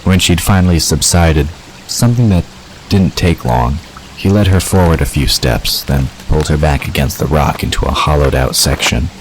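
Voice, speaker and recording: Extremely deep-voiced male story narrator. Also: normal speed, background noise. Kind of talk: scripted fiction narration.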